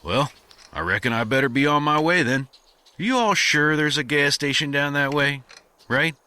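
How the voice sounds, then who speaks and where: A man's voice: fairly high pitch, moderate pace, pushed and bright, clean tone. A man playing an earnest, self-righteous folksy drifter hero in a comedy western audio drama. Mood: earnest, noble. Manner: folksy drawling cowboy speech.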